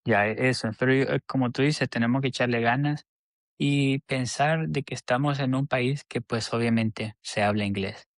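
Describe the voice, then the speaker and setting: A man speaks as a podcast guest at a middle pitch. He talks earnestly but hesitantly, often restarting his sentences. The sound is dry and close-miked. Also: steady tone, normal speed, no echo. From El Salvador. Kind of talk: casual interview chat.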